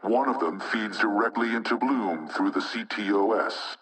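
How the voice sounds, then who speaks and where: An actor playing an anonymous male hacktivist spokesman in a video game propaganda broadcast, speaking in a measured, rhetorical, insinuating way with menace. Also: on the low side, a bit bright, far from the mic, close to an everyday voice, rough recording. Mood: menacing, defiant.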